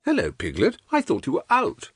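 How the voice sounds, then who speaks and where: A man's voice: sits high, wildly swooping pitch, moderate pace, husky, no room sound, clean studio sound, heavily acted. A British man playing a simple, good-natured, musing toy bear in a dramatized children's audiobook, with a bumbling, singsong manner.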